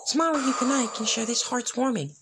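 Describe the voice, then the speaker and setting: A storyteller voicing a kind, earnest little filly pony girl, pleading gently in rhyme. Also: mid-range voice, lively intonation, a little fast, very noisy, pitched above the performer's own voice, natural voice. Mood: friendly, hopeful. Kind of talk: rhyming storybook fan fiction reading.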